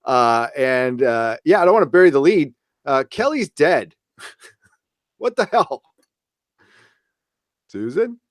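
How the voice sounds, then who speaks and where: A man's voice: medium pitch, big pitch swings, slow, long pauses, no room sound. Casual male podcast host, close dry sound. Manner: informal, blunt, mildly profane. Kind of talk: casual TV review chat.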